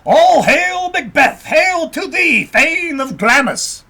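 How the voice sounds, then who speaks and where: A man voicing old witch women who proclaim prophecies in a loud, drawn-out storybook declamation. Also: very high-pitched, big pitch swings, voice pushed up, heavily acted.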